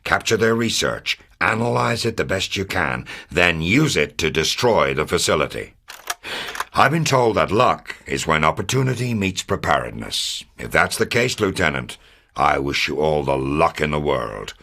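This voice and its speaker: A man playing a grave, authoritative military officer and documentary narrator in a game, speaking in a measured, scripted style. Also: rumbling low, big pitch swings, vocal fry, full character voice. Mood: solemn, resolute. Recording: music bed under the voice. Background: loud dramatic music.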